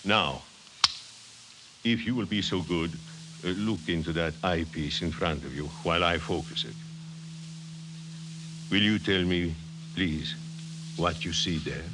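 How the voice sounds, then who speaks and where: An actor playing a courteous, calmly assured chief scientist in 1950s radio drama style, polite and clipped. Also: male voice, low voice, a little slow, long pauses. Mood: assured, intent. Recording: hissy 1950s radio recording.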